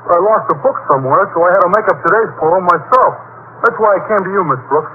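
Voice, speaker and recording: A man playing a dim-witted, lovesick high school boy with goofy, ungrammatical speech in old-time radio comedy. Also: quick pace, lightly acted. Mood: sheepish. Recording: dull, faintly noisy old radio recording.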